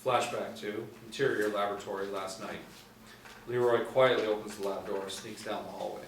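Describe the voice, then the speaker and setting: A middle-aged man reads scene descriptions from a script in a lowish voice. The room is echoey, with faint noise and some distance from the mic. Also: fairly even intonation, normal speed. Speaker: stage directions narrator. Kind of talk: scripted narration at a table read. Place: small indoor room, seated reading.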